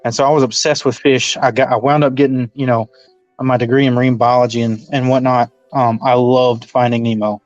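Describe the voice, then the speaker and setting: A man in his twenties, co-hosting a podcast in casual chat, rambling with many pauses. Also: mid-range voice, flat delivery, no echo. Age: 20s. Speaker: podcast co-host. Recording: dull dry lo-fi recording with faint music.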